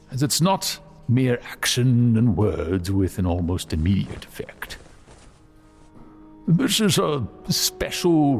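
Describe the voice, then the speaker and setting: A man playing a wise old male dragon mentor in an audio drama, speaking in a measured, fond, halting way. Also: deep voice, gravelly, a little mellow, exaggerated voice. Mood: grave, explaining.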